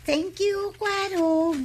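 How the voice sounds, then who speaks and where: A woman's voice: extremely high pitch, heavily acted. An actress with an Ilocano accent playing a nagging, exclaiming village woman in a comedy radio drama. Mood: chatty.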